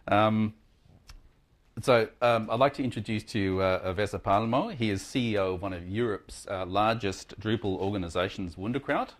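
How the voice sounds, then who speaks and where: A man's voice: on the low side, moderate pace. A man acting as a conference track chair, giving an informal, chatty and slightly hesitant live introduction of a speaker.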